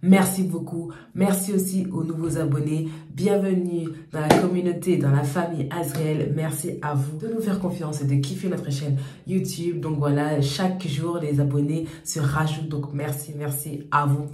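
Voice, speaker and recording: A woman hosting a channel, talking casually and hoarsely through a cold, thanking viewers over and over. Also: low-pitched, fairly even intonation, moderate pace, mellow, reverberant, a little hiss or hum. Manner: repetitive, chatty thanks to viewers. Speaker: YouTube channel host. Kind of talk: casual talk to camera.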